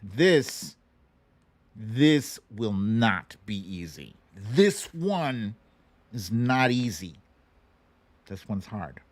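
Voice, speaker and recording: A man's voice: lowish voice, sing-song, extremely slow, pauses often, dry recording. A man hosting a casual livestream quiz, chatty and playful as he banters and calls out to viewers. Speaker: host of a live online show.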